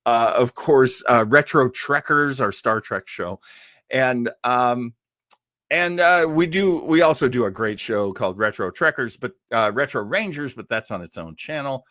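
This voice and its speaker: A man's voice: mid-range voice, expressive, a little slow, bright, forceful tone, dry recording, studio quality. A man hosting a livestream, chatting casually with viewers in a rambling, stop-start way. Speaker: host of a livestream show.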